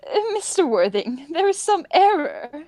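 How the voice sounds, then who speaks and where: A high-pitched, very expressive older spinster governess, played by a woman. She is fussy and precise, easily scandalised, recorded dry for a radio play. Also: measured pace, no echo. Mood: shocked, indignant. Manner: precise, prim. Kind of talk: amateur radio play of period comedy.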